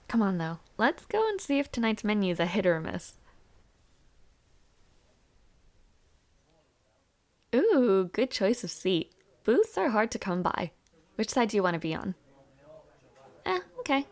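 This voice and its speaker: A woman's voice: mid-range voice, creaky. A woman playing a friendly, teasing young university student, chatting casually and playfully in an intimate audio roleplay. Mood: friendly, easygoing. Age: young adult. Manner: chatty, breezy, playful with soft asides.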